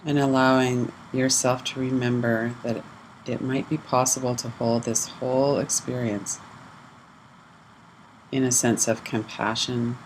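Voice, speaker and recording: Someone guiding a meditation, pretty flat even voice, lots of pauses, faint hum behind. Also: medium pitch, rough recording. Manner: measured, pausing between short phrases. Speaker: guided meditation teacher. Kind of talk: guided mindfulness meditation, slow gentle instruction.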